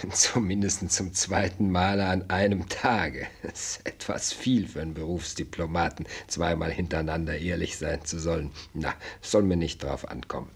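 An actor playing a shrewd, blunt minister of state in a German radio play, husky, with formal, rhetorical courtly speech. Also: male voice, low voice, full character voice. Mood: dry, ironic.

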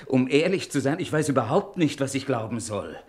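An actor playing a worried, self-interested show-business manager who speaks deliberately and emphatically in a radio crime drama. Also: male voice, medium pitch, animated, a little mellow, natural voice. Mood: uneasy, probing.

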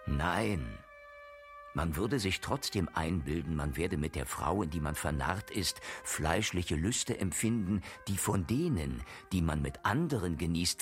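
A man's voice: deep voice, sing-song, measured pace, a bit bright, faint music behind, exaggerated voice. An actor playing a worldly, cynical male friend who lectures with learned irony in a declamatory radio play style. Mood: argumentative. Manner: rhetorical, declamatory, sardonic. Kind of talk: German radio play acting.

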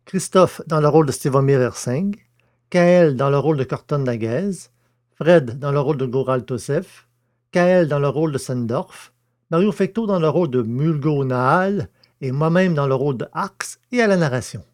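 A man's voice: fairly high pitch, moderate pace, darkish tone, dry recording, studio quality. A man reading scripted narration for an audio drama.

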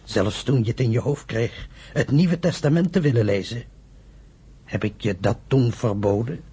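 An actor playing a stern, wounded father of grown sons in a radio play, wryly reproachful in a husky, gravelly voice. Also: medium pitch, moderate pace, mellow. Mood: hurt, reproachful.

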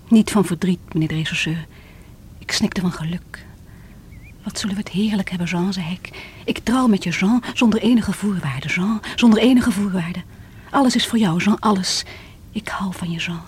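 Actress as bitter, heartbroken divorcee, radio drama; theatrical, hushed, breathy, some background noise. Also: female voice, on the low side, lightly acted. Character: distraught lovelorn divorced woman confessing bitterly to a police inspector. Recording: noisy vintage radio play recording.

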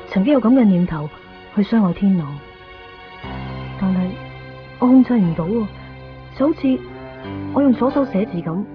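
An actress playing a soft-spoken, troubled young woman in a radio drama, introspective and hesitant, with a Hong Kong Cantonese accent. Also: mid-range voice, natural voice. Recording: muffled 1990s radio drama recording.

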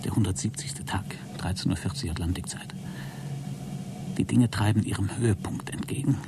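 Deep-voiced, pedantic, embittered man. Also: steady tone, moderate pace, very noisy. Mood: hushed, grimly resolved. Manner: pedantic, formal, cold. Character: embittered, self-important man keeping a log in a sealed craft. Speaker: radio drama actor. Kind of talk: German radio play acting, spoken logbook monologue.

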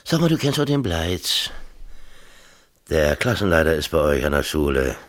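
An actor playing an agitated, self-pitying middle-aged mayor in a radio drama, rambling and insistent in colloquial talk. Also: male voice, extremely low pitch, big pitch swings, moderate pace. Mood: confiding, grumbling. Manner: rambling, insistent, peppers talk with tag questions. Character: agitated, self-pitying small-town mayor and exasperated father. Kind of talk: 1970s East German radio drama acting, colloquial dialogue.

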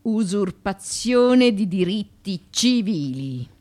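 A woman's voice: mid-range voice, expressive, slow, a little mellow. An actress playing a wry, weary foreign-born mother of ancient Athens in a radio play, teasing and evasive, often murmuring. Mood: urgent, bitter. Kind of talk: Italian radio theatre acting.

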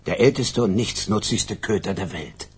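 An actor playing an elderly mobster, wry and gallant, with a husky, raspy voice, in a radio crime drama. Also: male voice, on the low side, normal speed. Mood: wry, courteous. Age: older.

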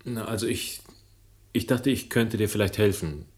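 A man's voice: lowish voice, moderate pace, darkish tone, lightly acted. An actor playing a concerned man in a German radio crime drama, earnest, hesitant and pleading. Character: concerned, pleading man offering a woman help.

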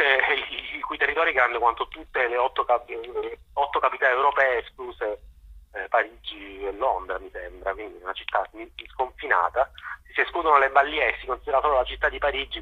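A man being interviewed on radio, explaining his ideas haltingly, searching for words and repeating them, over thin phone-like audio. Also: lowish voice, slightly rough. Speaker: interview guest, journalist.

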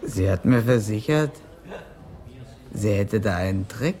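A man's voice: on the low side, lively intonation, measured pace, dark tone, soft background music. An actor playing an edgy, wary drug addict at the end of his tether in a radio crime drama, talking colloquially. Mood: confiding, matter-of-fact.

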